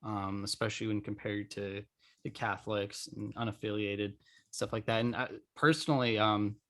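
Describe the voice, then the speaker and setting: Lowish-voiced man hosting, halting delivery. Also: fairly even intonation, fairly bright tone. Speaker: podcast host. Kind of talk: casual discussion.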